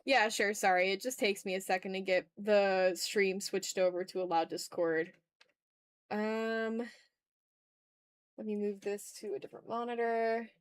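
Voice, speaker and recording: A woman's voice: medium pitch, fairly even intonation, a little slow, smooth, dry recording, clean studio sound. A woman chatting casually on an art livestream while she draws, informal and rambling, with some swearing and self-deprecation. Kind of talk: casual livestream chat while drawing, reading out viewer comments.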